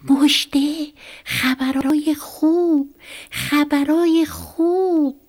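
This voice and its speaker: A woman voicing a sly, scheming male fox in a children's storybook tale, calling out in drawn-out, excited tones. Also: mid-range voice, vocal fry, breathy edge, exaggerated voice.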